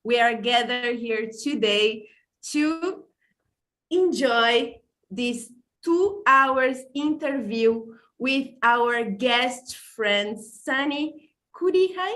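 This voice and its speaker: A woman's voice: on the high side, expressive, extremely slow, fairly bright tone, low-quality recording. A woman from Brazil whose first language is not English, hosting an online podcast in a casual, effusive way, stumbling and apologizing now and then, on a video-call mic. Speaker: podcast host.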